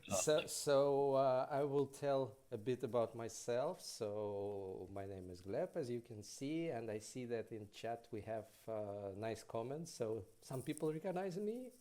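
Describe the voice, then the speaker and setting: A man in his thirties hosting an online interview over a video call, speaking in a measured way with slightly non-native phrasing. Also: medium pitch, expressive, dark tone. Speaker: host. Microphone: headset on a video call.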